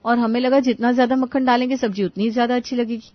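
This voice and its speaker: An eager, naive young wife from an animated family tale, voiced by a woman. Her high voice is earnest and respectful. The recording is dry and a little dull. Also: fast talker, dry recording, close to an everyday voice. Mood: earnest, puzzled. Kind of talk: animated moral-story dialogue.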